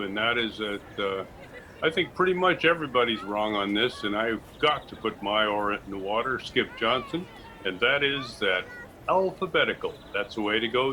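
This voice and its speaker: A man playing a glib, deadpan street reporter in an improvised mock news comedy sketch, with faint background noise. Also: lowish voice.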